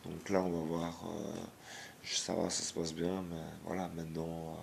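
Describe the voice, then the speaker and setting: A man, hoarse from a cold, talks in a deep, gravelly voice. He hesitates often, restarting phrases, yet his judgments come out blunt. A faint hiss of noise sits behind him. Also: level tone, unhurried. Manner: hesitant, many fillers and restarts; blunt, disapproving. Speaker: YouTuber. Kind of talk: casual vlog rant.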